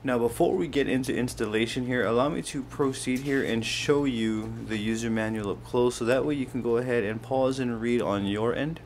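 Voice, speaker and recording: A man's voice: medium pitch, moderate pace, hardly any pauses. Man giving a tutorial over background noise. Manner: step-by-step, pointing things out as he handles them. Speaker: host of a how-to product video. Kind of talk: casual hands-on tutorial narration.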